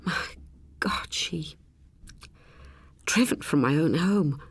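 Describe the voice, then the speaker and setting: A woman voicing a frightened, tearful actress in her late thirties, halting and stammering, in an audiobook. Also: low voice, expressive, husky, dry recording, exaggerated voice. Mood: tearful, indignant.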